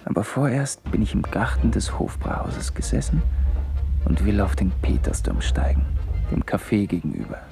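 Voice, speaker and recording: An actor playing a wandering, brooding man who muses in fragments in a literary radio play monologue, his gravelly voice often sinking to a breathy near-whisper. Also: on the low side, big pitch swings, normal speed, music in the background. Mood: musing. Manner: musing, fragmentary inner monologue. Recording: noisy radio recording with clicks.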